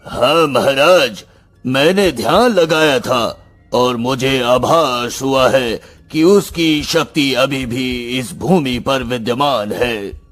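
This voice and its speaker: A man playing a wise, solemn royal sage in a cartoon story, speaking formally and gravely. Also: medium pitch, fairly bright tone, soft background music. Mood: grave warning. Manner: formal, measured.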